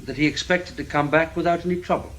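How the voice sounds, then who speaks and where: Actor as measured, stubborn intelligence man. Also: on the high side, steady tone, fairly bright tone, natural voice. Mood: stubborn, blunt. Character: guarded, stubborn British intelligence investigator, Mr Powell. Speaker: lead actor and first-person narrator. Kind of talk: radio drama acting and narration.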